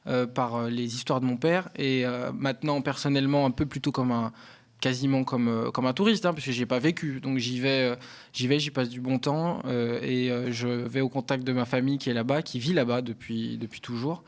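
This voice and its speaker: A man from the Lyon area answers as an interview guest, in a conversational way. His voice has an average male pitch, and he often pauses as he looks for the next word. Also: fairly even intonation. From Lyon area, France. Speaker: interview guest, parliamentary aide. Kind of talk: conversational personal account in an interview.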